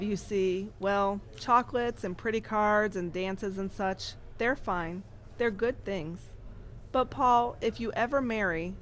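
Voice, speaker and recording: A woman playing an earnest, kindly nun who tells stories and teaches with a deliberate, emphatic delivery. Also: medium pitch, natural voice. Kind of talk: audio drama storytelling.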